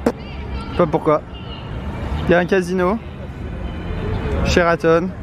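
A man's voice: high-pitched, little pitch movement, takes it slowly, a crowd in the background. A young man talking casually to a handheld camera outdoors, rambling with many hesitations. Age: young adult. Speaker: vlogger. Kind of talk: casual travel vlog to camera. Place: outdoors in a city street. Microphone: handheld camera at arm's length. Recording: outdoor traffic noise behind. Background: street and traffic noise.

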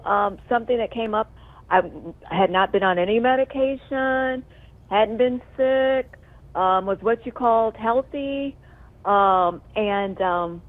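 A woman with a slightly high voice speaks over a narrow, tinny phone call. She talks in a casual, heartfelt way, effusive and emphatic, rambling from one thought into the next. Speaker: TV news presenter on medical leave, calling in. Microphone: telephone call.

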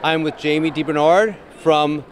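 A man's voice: fairly high pitch, steady tone, moderate pace, a little hiss or hum. A man in his forties hosting an upbeat, casual interview at a fitness expo, speaking into a handheld mic with frequent restarts. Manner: upbeat, enthusiastic, with many restarts. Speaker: host. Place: large indoor expo hall, in front of a backdrop. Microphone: handheld microphone.

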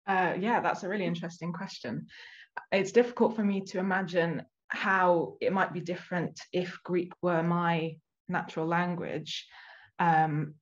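A woman's voice: fairly low pitch, steady tone, moderate pace. A young woman author answering a panel question on a video call, reflective and searching for words. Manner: reflective, with fillers and restarts. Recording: muffled video call audio.

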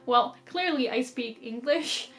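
A woman's voice: fairly high pitch, unhurried, faint music behind. A young woman in her twenties with a Dutch accent, chatting casually to the camera about books while she does her makeup, laughing often. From Netherlands or Flanders. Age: 20s. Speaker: book vlogger. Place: small decorated bedroom, indoors.

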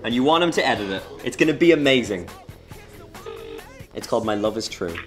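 A man's voice: medium pitch, animated, brisk. Young irish guy, reporter, playful chat that swoops up and down, music behind. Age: 20s. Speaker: backstage reporter. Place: backstage concrete corridor.

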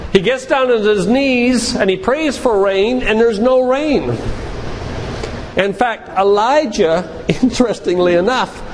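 An older man giving a sermon-like lecture, retelling Bible stories in a didactic way and addressing listeners directly. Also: high-pitched. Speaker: physician giving a guest talk at a church. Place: large room, church. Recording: reverberant hall with clear background noise.

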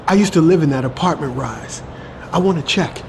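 An actor playing an uneasy, stubborn former pit worker in a post-apocalyptic audio drama, folksy and informal. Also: male voice, a little high, a little fast, noisy background, close to an everyday voice. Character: uneasy but stubborn male former slag-pit worker, plain-spoken.

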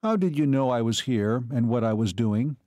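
A man voicing a steady veteran army captain in a story, level and matter-of-fact. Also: mid-range voice, moderate pace, no echo, clean studio sound, lightly acted. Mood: businesslike.

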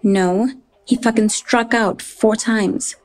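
A woman playing a cheeky, foul-mouthed, precocious ten-year-old girl with a deadpan manner in a comedy audio drama. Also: fairly high pitch, slow. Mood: deadpan, teasing.

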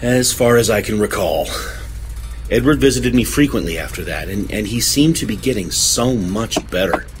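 A man playing a haunted, earnest witness in an audio drama, speaking in a measured, literary, anguished way. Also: fairly low pitch, animated, music in the background, lightly acted. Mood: grave, reflective. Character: haunted, earnest man recounting his friend's horror, pleading his sanity.

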